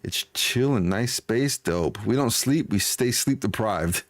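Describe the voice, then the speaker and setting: A man's voice: on the low side, lively intonation, unhurried, no room sound. A man in his forties hosting a game livestream, chatting casually with viewers in an upbeat, folksy manner. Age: 40s. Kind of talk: casual livestream chat, greeting and answering viewers.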